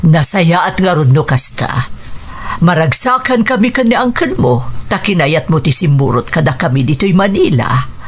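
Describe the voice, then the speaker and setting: A woman's voice: on the low side, expressive, normal speed, a little hiss or hum, lightly acted. A woman playing a kindly, motherly middle-aged aunt in an Ilocano radio drama. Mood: warm, caring.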